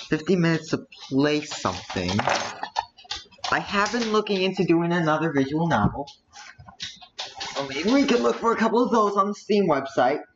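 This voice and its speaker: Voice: mid-range voice, animated, moderate pace, highs cut off, noisy background. A streamer chatting casually during a game stream, thinking aloud and muttering asides while browsing.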